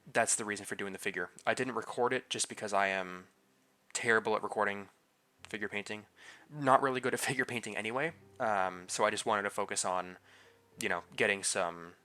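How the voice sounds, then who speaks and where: A man's voice: on the low side, bright, forceful tone. A man narrating his model build in a casual voice-over. He sounds a bit nasal and congested from a head cold and talks loosely, with many fillers and restarts. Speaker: scale model builder and channel host.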